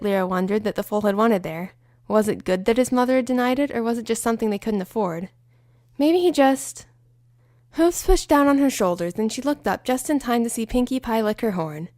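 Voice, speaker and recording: Brief: woman reader, expressive fanfiction narration, lively and highish. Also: no echo, studio quality. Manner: expressive storytelling. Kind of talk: audiobook-style story narration.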